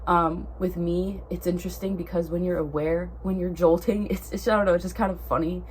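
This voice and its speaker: A woman's voice: lowish voice, fairly even intonation, fairly quick, darkish tone, slight background noise. A young woman vlogger, congested with a cold, chatting to camera in a rambling way that keeps correcting itself. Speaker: spiritual vlogger. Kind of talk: casual talk to camera.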